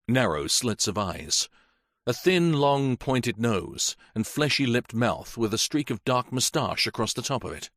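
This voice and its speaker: A man reading a mystery novel aloud in a measured, even storytelling manner. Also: mid-range voice, no echo, studio quality. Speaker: audiobook narrator. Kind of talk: scripted narration.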